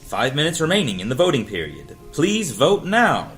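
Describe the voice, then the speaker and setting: A man voicing an impersonal, commanding public announcement device in an audio drama read-through, formal and measured. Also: on the high side, huge pitch swings, fairly bright tone. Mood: official, commanding. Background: music underscore.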